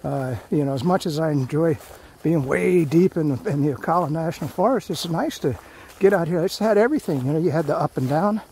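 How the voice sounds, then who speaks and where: A man's voice: fairly high pitch, fairly quick, dark tone, a little hiss or hum. Rambling man hiking a forest trail. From Florida. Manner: rambling, folksy, many fillers and restarts. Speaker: hiking vlogger. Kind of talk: casual hiking commentary.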